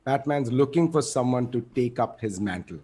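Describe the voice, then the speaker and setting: A man's voice: mid-range voice, expressive, normal speed, warm tone, breathy edge. A man playing an earnest, self-assured district attorney in a casual table read. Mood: earnest, musing.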